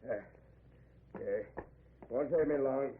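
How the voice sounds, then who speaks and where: An actor playing a rough, edgy male hitchhiker in an old-time radio drama, colloquial and hesitant. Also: mid-range voice, slow, narrow, tinny audio, close to an everyday voice. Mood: obliging. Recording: noisy, thin old radio recording.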